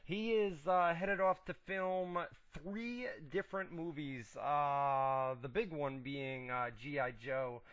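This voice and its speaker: A man's voice: on the high side, slow, hardly any pauses, a bit bright, no room sound. A man hosting a casual wrestling talk radio show, laid-back and chatty, losing his voice as he reads out listener questions. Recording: dull lo-fi internet radio audio.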